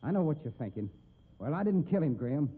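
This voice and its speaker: An actor playing a hot-tempered, cornered cowboy with a gun in 1950s radio drama style, blustering in folksy American speech. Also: male voice, a little high, very animated, fast, slightly rough. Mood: defiant, defensive. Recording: muffled, noisy 1950s radio recording.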